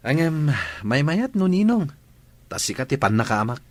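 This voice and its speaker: A man's voice: on the high side, very animated, normal speed, dry recording. A man playing an easygoing, affectionate boyfriend in an Ilocano audio drama, with an Ilocano accent. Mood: bantering.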